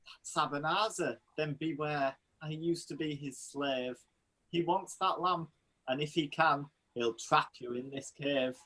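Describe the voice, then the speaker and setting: Man as a husky magic slave of the ring. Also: fairly high pitch, a little slow, bright, forceful tone, low-quality recording. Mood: warning. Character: modest magic slave spirit of a ring, dutiful servant. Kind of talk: pantomime acting.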